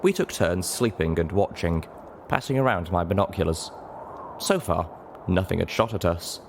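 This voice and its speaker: A man reading a story aloud in expressive scripted narration. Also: deep voice, moderate pace, vocal fry, slight background noise. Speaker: audiobook narrator.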